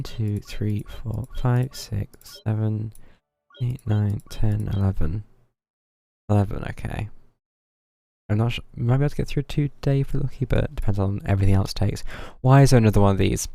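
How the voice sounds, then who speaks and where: A man's voice: on the low side, fairly even intonation, normal speed, vocal fry, mellow, soft background music. A man giving casual live game stream commentary, muttering to himself in a dryly wry way.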